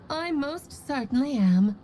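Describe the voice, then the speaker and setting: A breezy, playful mage, very expressive. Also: female voice, sits high, takes it slowly, background noise. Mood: playful, teasing. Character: playful, breezy, self-assured powerful mage mother. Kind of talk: video game voice acting.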